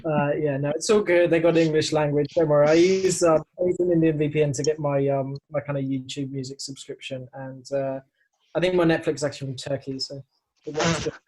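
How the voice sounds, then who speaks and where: A man's voice: fairly high pitch, steady tone, a little fast, a little mellow, poor audio. A man giving recommendations in a casual podcast chat, thoughtful and a little hesitant. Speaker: podcast panellist.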